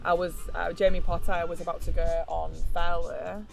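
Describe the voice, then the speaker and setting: Woman, podcast host, upbeat, slightly low voice, music in background. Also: flat delivery, vocal fry. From Yorkshire. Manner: upbeat, quick, fillers. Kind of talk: casual podcast interview. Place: theatre venue after soundcheck. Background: music playing.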